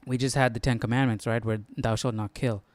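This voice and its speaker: Male Bible study host, smooth clear voice, dry close sound, informal and searching delivery. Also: mid-range voice, fairly even intonation, fairly quick, no echo. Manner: tentative, often checks with "right?". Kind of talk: casual explanatory commentary, thinking aloud.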